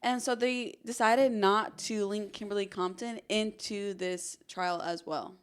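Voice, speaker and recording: A woman's voice: mid-range voice, steady tone, a little slow, clear, resonant tone. Woman podcast host talking thru a crime case, casual, says like a lot. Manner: casual, frequent "like" as hedging. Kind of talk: conversational true-crime storytelling.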